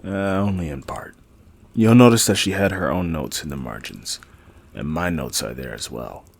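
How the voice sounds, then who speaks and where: A man playing a learned, guarded older mage in a fantasy audio drama, speaking formally and deliberately in a gravelly, husky voice. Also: a bass voice, lively intonation, dry recording, full character voice. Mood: guarded, reflective. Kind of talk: fantasy audio roleplay acting.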